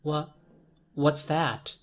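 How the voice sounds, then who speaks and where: A woman's voice: low-pitched, extremely slow, slight background noise, voice pushed up. A woman voicing a frightened, defiant young boy in a horror story, hesitant and whimpering, with music behind. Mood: hesitant, scared.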